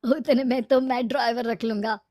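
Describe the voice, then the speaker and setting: A woman's voice: on the high side, steady tone, normal speed, warm tone, dry recording, clean studio sound, close to an everyday voice. A woman voicing an arrogant, boastful husband in a cartoon story, belittling and dismissive in manner. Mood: scornful. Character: arrogant, money-proud husband, dismissive and scornful. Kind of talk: Hindi cartoon story voice acting.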